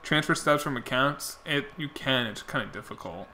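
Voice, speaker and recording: A man's voice: mid-range voice, moderate pace, bright, forceful tone. A young man streaming a baseball video game, rambling casually and answering his chat viewers, his voice getting worn out. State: losing his voice. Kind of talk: casual chat while playing.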